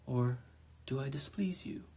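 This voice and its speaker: A man voicing an alluring, gentle female crow who speaks in a calm, soothing, formal way. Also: medium pitch, unhurried.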